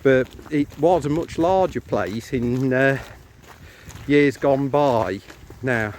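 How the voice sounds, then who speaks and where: English male walking-vlog co-host, explanatory casual guide talk, outdoor phone sound with clear noise. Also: mid-range voice, takes it slowly, darkish tone. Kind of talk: casual chat with local guide commentary. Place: outdoors on a country lane.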